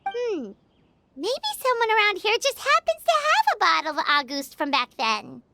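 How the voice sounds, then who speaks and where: A woman's voice: very high voice, normal speed, creaky, dry recording, heavily acted. A woman voicing a tiny, bubbly floating girl companion in a video game, chirpy and nosy. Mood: musing. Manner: excitable, chirpy. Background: game background music.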